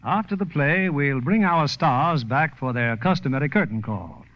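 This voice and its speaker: A man with an American accent hosts a scripted 1940s radio show. His voice sits a little high for a man. The old recording is muffled and lo-fi. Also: lively intonation, normal speed.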